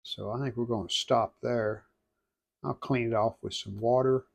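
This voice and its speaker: A man's voice: mid-range voice, fairly even intonation, normal speed, gravelly, slightly rough. A man narrating a hands-on restoration casually, thinking aloud in short, plainspoken phrases. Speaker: restoration video host.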